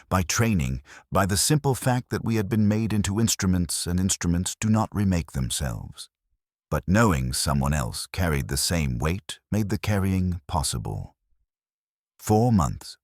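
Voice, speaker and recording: A man with a husky, gravelly voice narrating a dramatic scripted story in a solemn, measured way, in short clipped phrases. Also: a bass voice, dry recording, studio quality. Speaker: narrator of lore stories.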